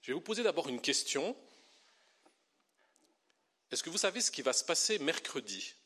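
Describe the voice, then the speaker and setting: A middle-aged man from Belgium, a pastor and theology teacher, preaching a sermon with lively delivery that slows down to stress key words. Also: a little high.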